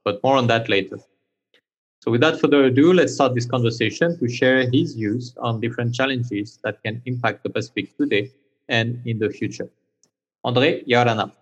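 Male podcast host, mid-pitched voice, formal, slightly halting questions. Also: dull audio. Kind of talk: podcast interview.

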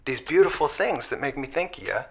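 An actor playing a sheepish, hopelessly romantic husband calling a radio show in a comedy audio drama, rambling haltingly and repeating himself. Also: male voice, fairly high pitch, lively intonation, slightly rough. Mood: pleading.

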